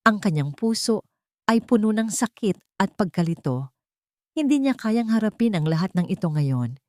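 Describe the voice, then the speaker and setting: A woman narrating a dramatic romance story in a steady, dramatic scripted style. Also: mid-range voice, normal speed, no room sound, clean studio sound.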